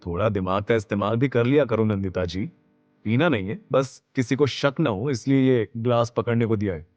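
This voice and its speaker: A man's voice: medium pitch, normal speed, darkish tone, no room sound, dull audio, lightly acted. Cocky, teasing young man, acted by a narrator. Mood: condescending. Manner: sarcastic, confident.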